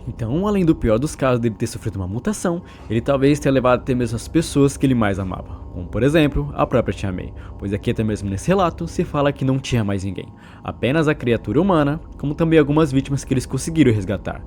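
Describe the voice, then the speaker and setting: A man's voice: medium pitch, animated, fast, hardly any pauses, a little mellow. A man with a Brazilian accent narrating a recap of a horror story.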